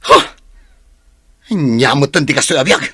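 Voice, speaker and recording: A man playing an angry, suspicious, irresponsible husband in an Ilocano radio drama, with a husky voice. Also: mid-range voice, lively intonation, unhurried, sharp tone, no echo, studio quality, full character voice. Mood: irritated.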